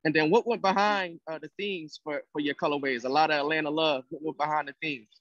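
A male reporter asks a polite, slightly halting question over a video call. His voice sits fairly high. The audio is dull and lo-fi, as call sound tends to be. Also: fairly even intonation, fairly bright tone, no room sound.

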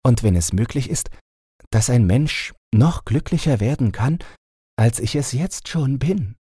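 Man acting a blithe, raised-pitch young lad. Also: animated, a little slow, dark tone, slightly rough, dry recording, clean studio sound. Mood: blissful. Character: cheerful, carefree young man, happy-go-lucky prisoner. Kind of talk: fairy-tale audiobook character voice.

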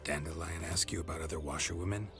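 An actor playing a stoic, dry-witted monster hunter in a video game, speaking in a laconic, deadpan way. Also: male voice, low voice, quick pace, lightly acted. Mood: wry, probing. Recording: game music under the voice.

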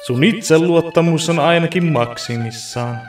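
A man's voice: fairly high pitch, close to an everyday voice. A man voicing a huge, matter-of-fact male dragon in an anime parody dub, speaking in short, casual remarks. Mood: puzzled, curious. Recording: distant voice under music.

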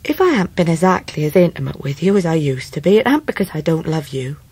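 Husky, homely English nursemaid. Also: female voice, deep voice, sing-song, darkish tone, exaggerated voice. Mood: tender. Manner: halting, tender, plain-spoken. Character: warm, loyal, homely Victorian servant nursemaid. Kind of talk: 1990s radio drama acting.

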